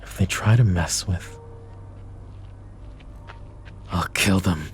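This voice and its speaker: A man playing a flirty, possessive mafia boss who is protective and menacing, in an intimate roleplay ASMR style with smooth-talking, controlled menace. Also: low voice, moderate pace, slight background noise, natural voice. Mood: angry, threatening.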